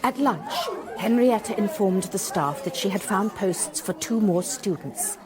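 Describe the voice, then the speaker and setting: An actress playing a sharp-eyed, wry former schoolmistress, telling a mystery in a precise, measured way for radio drama. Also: female voice, medium pitch, lively intonation, over a noisy crowd, close to an everyday voice. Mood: wry, reflective. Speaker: narrator and lead character. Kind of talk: first-person dramatised narration, radio drama.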